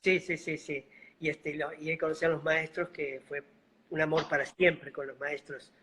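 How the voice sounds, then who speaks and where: A middle-aged man from Buenos Aires with a soft Argentine accent that carries a Bolivian lilt, calmly telling stories as the guest of a video-call interview. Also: a little high, a little hiss or hum. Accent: Argentine Spanish, slightly Bolivian-tinged. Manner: unhurried, gentle, fond of diminutives. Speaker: guest, mime and clown actor. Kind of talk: casual interview storytelling.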